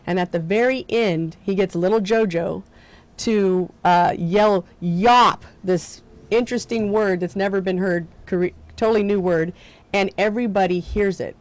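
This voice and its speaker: A woman theater director with a loud voice, chatting in a TV studio interview and laughing easily. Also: mid-range voice, measured pace.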